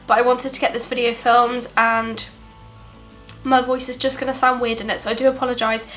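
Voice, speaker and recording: A woman's voice: on the high side, level tone, brisk, fairly bright tone, soft background music. A young British woman with a stuffy nose from a cold, talking through a product review in a subdued, apologetic way. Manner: subdued and apologetic, chatty with fillers. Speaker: beauty vlogger. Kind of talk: casual product review talk.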